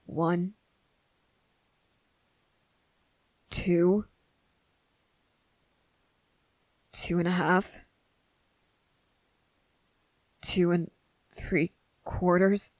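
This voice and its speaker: A woman playing a wary, blunt but softening young boy in an ASMR roleplay, speaking deliberately with hesitant pauses. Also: on the low side, level tone, no echo, rough recording. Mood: impatient, wary. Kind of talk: ASMR roleplay audio drama.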